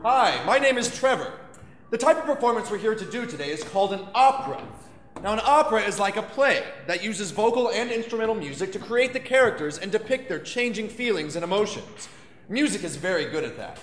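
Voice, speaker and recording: A man who is an opera baritone narrates and explains a story on stage in a clear, scripted storyteller's manner. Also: animated, brisk, fairly bright tone, a little hiss or hum. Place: opera house stage, live show. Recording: echoey hall with piano.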